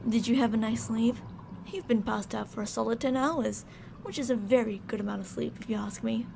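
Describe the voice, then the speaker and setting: A high, heavily creaky female voice acted by a woman plays a mad scientist captor in an ASMR roleplay. She is syrupy and courteous with a stern, controlling edge. There is clear noise behind her. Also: brisk. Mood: sweetly menacing. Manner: sugary politeness turning stern and commanding. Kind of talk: ASMR roleplay audio drama.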